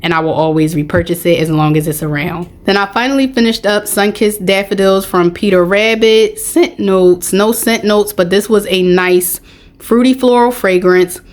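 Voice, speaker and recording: A woman hosting a casual product review vlog, talking in a matter-of-fact way, her voice a little raspy and hoarse from getting over being sick. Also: medium pitch, moderate pace. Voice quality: slightly raspy. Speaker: video host, product reviewer.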